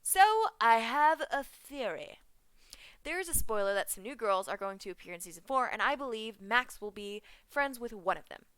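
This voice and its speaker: A young woman chatting in an excited fan reaction vlog, giggling, making puns and drifting off track. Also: on the high side, moderate pace, clean tone, dry recording. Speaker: vlogger reviewing a cartoon episode.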